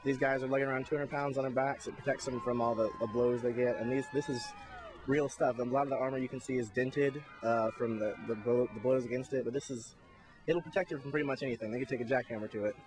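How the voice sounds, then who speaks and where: A man giving an informal, earnest, halting explanation as a festival squire, recorded outdoors. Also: mid-range voice, steady tone. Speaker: jousting squire and backstage crew member at a Renaissance festival. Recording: noisy outdoor location recording.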